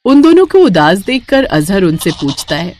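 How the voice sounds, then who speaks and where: A woman's voice: medium pitch, sing-song, moderate pace, darkish tone. A woman narrator reading a scripted moral story for children.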